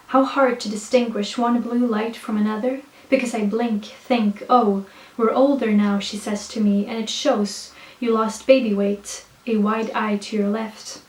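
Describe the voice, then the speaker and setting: A woman's voice: a little high, darkish tone. Swedish-accented young woman, hyper and rambling. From Sweden. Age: young adult. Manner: hyper, self-mocking, many fillers and restarts. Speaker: vlogger reading her own poetry. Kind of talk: rambling casual chat and slow poetry reading. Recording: echoey room, voice a bit far from the mic.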